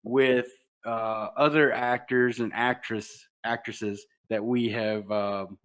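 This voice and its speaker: A man co-hosting a podcast interview, casual and halting as he searches for his words. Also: mid-range voice, fairly even intonation, fairly bright tone. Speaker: podcast co-host.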